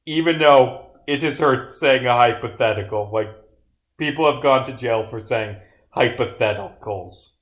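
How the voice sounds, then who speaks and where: A man's voice: medium pitch, unhurried. Sweary man muttering on a live stream. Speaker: game developer and live streamer. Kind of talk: casual live stream commentary.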